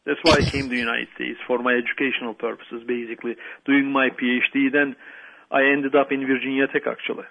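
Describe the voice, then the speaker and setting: A man from Turkey, a native Turkish speaker, answering interview questions as a guest professor in a soft-spoken, hesitant way. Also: mid-range voice, flat delivery, fast talker, gravelly. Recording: tinny phone line.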